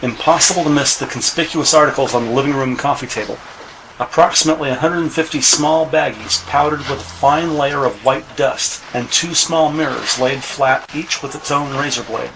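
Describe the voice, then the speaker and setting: A man's voice: mid-range voice, moderate pace. A man reading scripted narration for a crime audio drama. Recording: noisy ambient sound bed.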